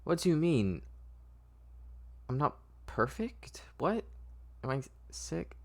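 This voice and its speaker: Man acting scared young woman, raised pitch, shaky breathy voice, stammering, pleading, audio drama. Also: sing-song, takes it slowly, full character voice. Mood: frightened, panicked. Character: frightened, anxious young woman patient being turned into doll.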